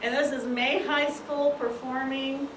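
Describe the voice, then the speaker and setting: A woman's voice: high-pitched, fairly even intonation, measured pace, distant mic. A woman, a school play director, giving an encouraging, informal introduction before a show. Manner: encouraging, enthusiastic. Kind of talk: informal pre-show speech to an audience. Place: large room, distant mic. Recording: roomy, noisy recording from afar.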